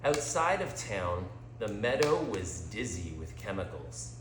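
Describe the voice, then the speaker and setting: A man reading a scripted story as a storyteller, with a dramatic, deliberate delivery. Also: lowish voice, big pitch swings, background noise. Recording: echoey room.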